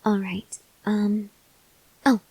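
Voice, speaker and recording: A woman playing a gentle, awkward, hungry female vampire in an ASMR roleplay, speaking softly and hesitantly with breathy near-whispers and tender reassurance. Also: mid-range voice, level tone, drawn-out words, dry recording, low-quality recording. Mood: careful, tender. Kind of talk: ASMR roleplay, intimate address to the listener.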